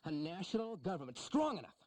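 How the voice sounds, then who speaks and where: An actor playing an earnest, worried founding statesman in a historical audio drama, deliberating haltingly and with emphasis. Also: sits high, big pitch swings, a little mellow. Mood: earnest, persuasive.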